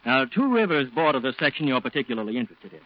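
A male actor as a knowledgeable plantation supervisor in a radio play. He explains politely and carefully at an average pitch. The sound is muffled and vintage. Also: big pitch swings, a little hiss or hum, natural voice. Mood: measured, helpful. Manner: measured, explanatory. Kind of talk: 1940s radio acting. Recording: dull old radio recording.

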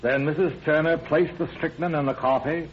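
An actor playing a measured, businesslike male district attorney putting questions in an old-time radio drama. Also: fairly high pitch, steady tone, close to an everyday voice. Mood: attentive, weighing. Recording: dull, noisy vintage radio recording.

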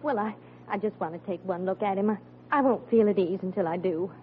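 A woman's voice: mid-range voice, fairly quick, natural voice. An actress playing an anxious, tender mother and wife in a 1940s radio sitcom. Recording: tinny, hissy old radio broadcast.

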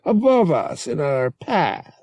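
Man playing an urgent, high-voiced anxious starship passenger. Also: big pitch swings, takes it slowly, a little mellow, husky, dry recording, full character voice. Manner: urgent, tense.

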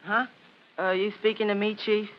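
An actor playing a troubled, sulky American schoolboy in an old-time radio drama, hesitant and grumbling. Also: male voice, sits high, level tone, moderate pace, close to an everyday voice. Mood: distracted, sullen. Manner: hesitant, grumbling, boyish exclamations. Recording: muffled, hissy old radio recording.

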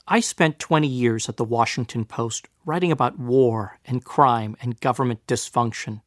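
A middle-aged man hosting a podcast, earnest and lively in his scripted narration. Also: medium pitch, dry recording, clean studio sound. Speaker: podcast host.

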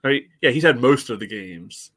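A man's voice: medium pitch, animated, normal speed, fairly bright tone. A man chatting casually as a sports fan on a podcast, wry and blunt, often saying "you know".

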